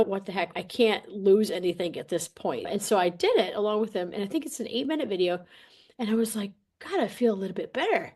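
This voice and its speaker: Podcast guest: woman, mid-pitched voice, animated and colloquial, chatty coach style. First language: English. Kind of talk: casual interview talk, personal storytelling.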